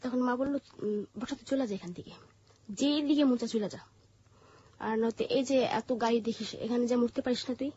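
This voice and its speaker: Bangladeshi female interview guest, high-pitched husky voice, halting personal narration, dull lo-fi sound. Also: warm tone. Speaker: interview guest telling her life story.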